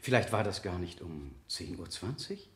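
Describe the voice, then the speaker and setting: A man's voice: low-pitched, big pitch swings, normal speed, reverberant. An actor playing a skeptical, incisive male lawyer, probing and rhetorical in a radio courtroom drama. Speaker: lawyer cross-examining a witness. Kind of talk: 1970 German radio play acting.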